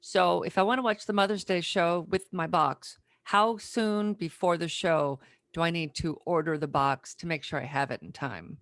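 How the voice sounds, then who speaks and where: A woman with a slightly low voice hosts a relaxed interview chat. She speaks plainly, clarifying details, and her sound is very dry with hardly any room in it. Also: normal speed, dry recording. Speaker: podcast host, interviewer.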